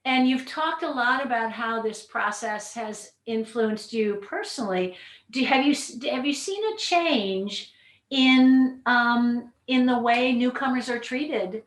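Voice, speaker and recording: A woman's voice: fairly high pitch, unhurried. A woman moderating a panel conversationally, encouraging and thoughtful as she searches for her words. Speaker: discussion moderator. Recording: echoey room sound.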